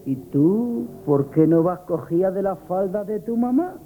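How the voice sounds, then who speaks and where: An actor playing a cheeky, chatty grocery assistant in a radio comedy, telling things wryly in a Spanish accent. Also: male voice, sits high, animated, unhurried, soft background music. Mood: wry, gossipy. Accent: Spain. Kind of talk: comic radio theatre acting. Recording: muffled noisy old radio recording.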